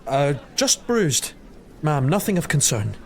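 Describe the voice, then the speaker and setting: An actor playing a modest, deferential young knight in an audio drama, with a halting, polite delivery. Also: male voice, fairly high pitch, big pitch swings, creaky, a little hiss or hum, full character voice. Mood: embarrassed. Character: hesitant, deferential young knight, guarded and awkward.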